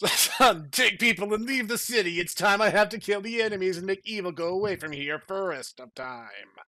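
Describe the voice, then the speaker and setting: A man voicing overwrought action heroes and villains in a melodramatic, halting way for a comic story reading. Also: sits high, expressive, sharp tone, no room sound. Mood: grim, defiant. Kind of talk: mock-dramatic comic fan fiction reading.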